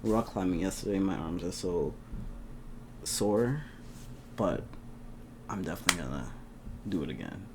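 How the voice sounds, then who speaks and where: A young man chatting casually to his camera at home, laughing often and getting animated at times. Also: deep voice, moderate pace, pauses often, background noise. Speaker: vlogger. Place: indoors at home.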